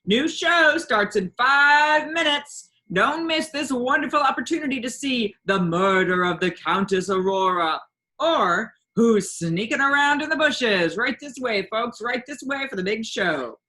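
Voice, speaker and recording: A woman voicing a loud male showman barking for customers at a fair tent. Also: a little high, animated, a little slow, pitched above the performer's own voice. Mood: shouting. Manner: shouting, hawking.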